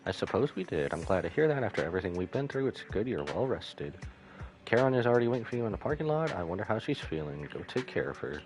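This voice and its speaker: A man's voice: on the low side, big pitch swings, quick pace, poor audio. A man on a live game stream, reading dialogue aloud and adding his own casual comments. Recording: music behind the voice.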